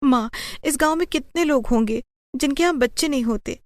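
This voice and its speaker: A woman's voice: high voice, a little fast, a little mellow, dry recording, voice pushed up, lightly acted. A woman voicing an anxious, pleading young married woman in a dramatised moral story. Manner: pleading, fretful.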